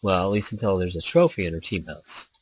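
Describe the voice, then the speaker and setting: A man's voice: deep voice, animated, brisk, slightly rough. A man reading a visual novel aloud as narrator in an informal way, sometimes stumbling and rereading a line. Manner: informal, stumbles over lines and rereads them. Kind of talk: story read-aloud in a game playthrough. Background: background music.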